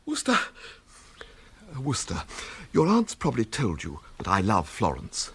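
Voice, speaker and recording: An actor playing a lovesick, excitable upper-class English poet in a radio comedy, pompous, precise and fluttery. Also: male voice, on the low side, a little slow, a little hiss or hum, full character voice. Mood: pompous, excited. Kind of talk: radio comedy drama acting.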